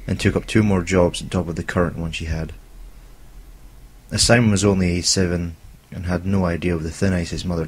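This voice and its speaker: A man reading a homemade horror story aloud in a steady, slightly dramatic way. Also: deep voice, slight background noise. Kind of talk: read-aloud horror narration.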